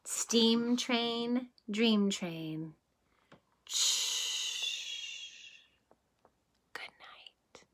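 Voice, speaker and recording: A woman in her thirties, a kindergarten teacher, reads a rhyming picture book aloud to young children. Her voice is bright and clear. She takes the verses slowly and in a sing-song way, then stops to ask the little ones gentle questions about the pictures. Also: a little high, in a whisper.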